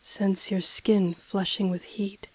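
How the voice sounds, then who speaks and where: Woman as a slow, breathy ancient dragoness. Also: mid-range voice, flat delivery, darkish tone, dry recording. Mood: flirtatious, amused. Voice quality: breathy, often dropping to a whisper. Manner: slow, deliberate, condescending, teasing. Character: ancient haughty female dragon in human form, teasing, seductive. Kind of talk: intimate audio roleplay, scripted character acting.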